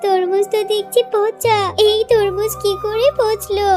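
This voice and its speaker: A woman's voice: very high-pitched, level tone, a little slow, music in the background. A woman voicing a caring, fussing mother fox, a village housewife in a children's cartoon. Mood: worried.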